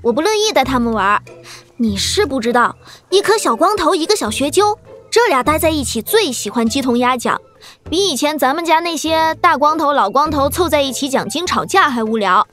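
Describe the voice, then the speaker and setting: A woman's voice: high-pitched, animated, brisk, fairly bright tone, faint music behind. A woman playing a cheeky, chatty young girl in a Chinese martial-arts audio drama, pouty and gossipy.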